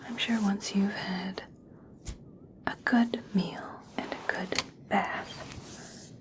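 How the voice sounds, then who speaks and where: Slow whispering elf princess, played by a woman. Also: medium pitch, fairly even intonation. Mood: gracious, soothing. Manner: soft, slow, soothing, formal. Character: regal elf princess, gracious, coaxing, subtly conditional kindness. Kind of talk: whispered ASMR personal attention roleplay.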